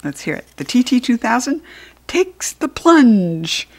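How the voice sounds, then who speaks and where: A woman's voice: a little high, sing-song, a little slow. American woman reading a children's storybook. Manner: playful, informal. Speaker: read-aloud narrator for children. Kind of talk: expressive children's storybook reading with playful asides.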